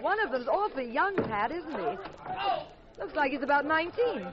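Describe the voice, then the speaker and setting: Detective's wife: swooping, expressive delivery, wry and flustered, old muffled radio mystery. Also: female voice, high voice, a loud crowd. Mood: alarmed. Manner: animated, brisk, arch. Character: wry, flustered, jealous wife of an amateur sleuth. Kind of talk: 1950s radio drama acting. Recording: muffled vintage radio broadcast.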